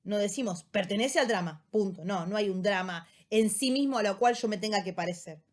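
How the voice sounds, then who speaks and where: A woman teacher with an Argentine accent, explaining a text in a relaxed lecture style and often checking in with short tag questions. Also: medium pitch, fairly quick. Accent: Argentine Spanish. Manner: casual rioplatense slang. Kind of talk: recorded lecture summary.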